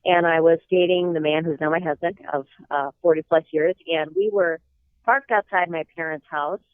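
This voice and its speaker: A woman in her sixties with a slight cold-hoarseness, telling personal stories in an earnest, reflective way as an interview guest. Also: low-pitched, fairly even intonation, moderate pace. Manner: earnest, reflective, with some hesitant fillers. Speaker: guest author. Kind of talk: interview storytelling. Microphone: telephone. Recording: tinny phone line.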